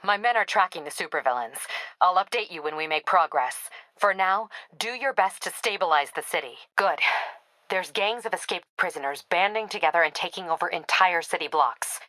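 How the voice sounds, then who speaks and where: A woman's voice: lowish voice, lively intonation, normal speed, pushed and bright, dry recording, low-quality recording. A woman playing a tough, overworked police captain in a video game, speaking in a clipped, authoritative, dry manner. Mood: tense, matter-of-fact. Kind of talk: dramatic video game dialogue.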